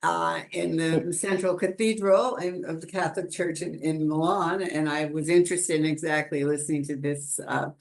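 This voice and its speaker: Creaky gravelly panelist rambling on a video call, laughs a lot. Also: medium pitch, steady tone, moderate pace, few pauses. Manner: chatty, laughs often, rambling restarts. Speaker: webinar panelist. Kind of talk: conversational panel discussion. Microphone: computer mic on a video call.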